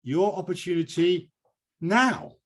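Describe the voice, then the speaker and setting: A man's voice: high-pitched, slow pace, a bit bright. An older British man hosting a poetry reading, chatty and digressive, often repeating himself for emphasis. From Britain. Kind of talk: informal event hosting, reading out written replies.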